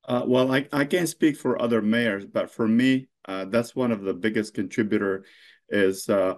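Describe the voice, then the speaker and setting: Low-voiced man, halting with fillers. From Lebanon. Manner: halting, heavy with fillers and restarts. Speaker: mayor being interviewed. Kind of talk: unscripted interview answers.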